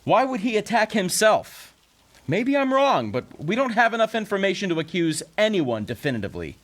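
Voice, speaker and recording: Man acting earnest, lightly high-voiced peacemaker. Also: very animated, brisk, a bit bright. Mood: earnest reasoning. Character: earnest, reasoning, downcast male peacemaker. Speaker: actor in an audio drama.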